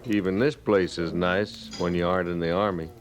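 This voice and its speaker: An actor playing a sardonic, drifting ex-soldier and gambler in an old-time radio western, easygoing and needling. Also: male voice, deep voice, fairly even intonation, normal speed, natural voice. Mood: sly, breezy. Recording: old radio drama with crowd murmur. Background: saloon crowd sound effects.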